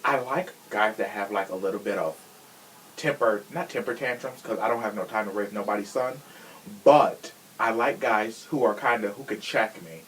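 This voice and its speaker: A man's voice: on the low side, normal speed, a bit bright, a little hiss or hum, rough recording. Sassy man chatting casually on a podcast. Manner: sassy, slangy, opinionated. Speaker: podcast host.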